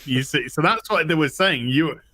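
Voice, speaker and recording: Bright-voiced British man chatting. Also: fairly high pitch, lively intonation, normal speed. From United Kingdom. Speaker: co-host of a TV review show. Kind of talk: casual recap chat.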